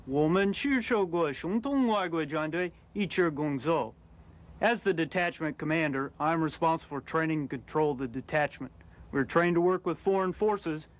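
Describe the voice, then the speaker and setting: A man's voice: fairly high pitch, moderate pace. A man serving as a military commander, delivering a formal, recited scripted introduction of his duties.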